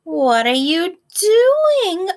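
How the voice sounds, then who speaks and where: Woman voicing a high-pitched friendly little storybook witch. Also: very animated, extremely slow, heavily acted. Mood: fond scolding. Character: friendly little Halloween witch, bossy but caring.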